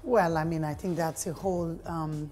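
Reflective low-voiced woman in interview. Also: fairly even intonation, normal speed, a little mellow, soft background music. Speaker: guest, playwright and director. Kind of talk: conversational interview answers. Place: open-plan office studio.

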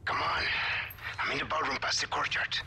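A man's voice: a little high, steady tone, a little fast, pushed and bright, long reverb tail, a little hiss or hum. An actor playing a cocky, teasing Spanish ladies' man in a video game, with a Spanish accent and theatrical playfulness. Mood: playful theatrical. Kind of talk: dramatic video game dialogue.

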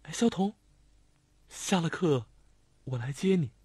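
A man's voice: on the high side, expressive, measured pace, slightly rough, no room sound, voice pushed up. A man voicing a lovesick, insistent young woman, the daughter of a rich man, in a pleading, raised voice for an audiobook. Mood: soft. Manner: pleading, raised, near shouting. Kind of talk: audiobook character voice.

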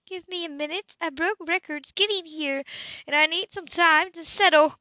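Woman narrator voicing protective wife, earnest, raised pitch, audiobook, lo-fi sound. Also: normal speed, bright, forceful tone, dry recording. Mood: shaken, urgent. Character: shaken, protective wife holding the family together. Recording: dull lo-fi home recording.

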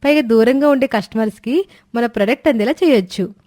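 A woman's voice: a little high, brisk, darkish tone, no room sound, lightly acted. Woman playing a practical young daughter-in-law in a story, confident, dry sound. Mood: planning.

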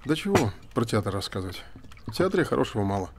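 A man's voice: mid-range voice, big pitch swings, fast, slight background noise. An actor in his thirties playing a reserved, curious theatre director from the capital, speaking in a terse, understated way in a naturalistic TV drama. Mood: reserved, probing. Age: 30s. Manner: terse, understated, matter-of-fact. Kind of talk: naturalistic television drama acting.

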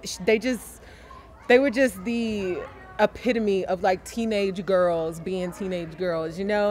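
A young woman from Dallas, chatty and animated, telling a personal story as an interviewee outdoors on a sunny lawn. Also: mid-range voice, a little hiss or hum. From Dallas, Texas. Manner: chatty and animated, gesturing with her hands. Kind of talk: casual storytelling.

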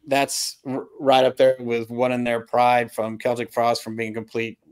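A middle-aged man with a mid-pitched voice chats casually about music on a video call. He is opinionated and halting, often repeating words to drive a point home. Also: monotone, smooth. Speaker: album review panelist. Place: home room with record shelves.

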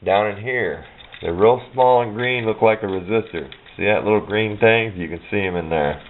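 A man's voice: on the low side, moderate pace. A middle-aged man giving a casual, rambling show-and-tell in an offhand, folksy way, recorded on a handheld camera's built-in microphone. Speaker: hobbyist showing a parts collection. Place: indoor workbench. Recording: muffled phone-like sound with hiss, clicks.

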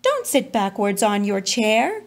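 Woman as a doting storybook mother, lively pitch. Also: measured pace. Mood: coaxing. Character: doting mother coaxing her difficult young son. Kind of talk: children's storybook reading.

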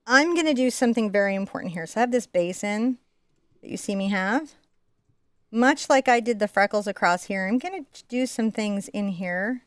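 A woman's voice: mid-range voice, dry recording. A middle-aged woman chatting informally and digressively as she teaches painting in an indoor studio. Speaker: host teaching a live painting class. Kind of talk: casual chat and painting demonstration.

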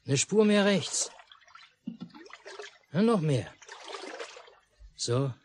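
A gruff, bossy lakeside father who barks terse orders in an unhurried, swooping voice. It is 1950s radio drama, with clear noise on the old mono recording. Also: fairly high pitch, animated. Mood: commanding. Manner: terse, curt commands. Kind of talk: 1950s German radio play acting.

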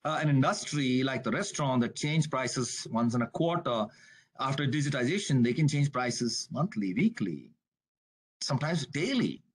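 A man presenting on a webinar over a video call, in a brisk, conversational way. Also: medium pitch, animated, slightly rough. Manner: brisk, conversational, frequent "you gotta". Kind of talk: business webinar talk.

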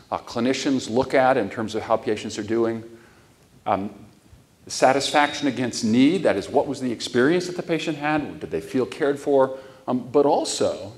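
A man's voice: mid-range voice, a little mellow. A middle-aged man lectures from a large conference stage, through a lapel mic. His voice sits in an ordinary male range. He explains steadily and earnestly, stressing the points that matter. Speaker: keynote speaker.

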